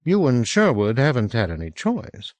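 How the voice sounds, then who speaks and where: Quiet, guarded man, low and terse, close dry sound, read by a male narrator. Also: huge pitch swings, moderate pace, dry recording. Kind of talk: audiobook character voice.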